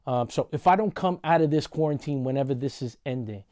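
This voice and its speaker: A man's voice: medium pitch, fairly quick, no room sound, studio quality. A man, talking casually in a vlog monologue and often saying "y'all". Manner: relaxed, conversational, says "y'all" often. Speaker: podcast host and referee.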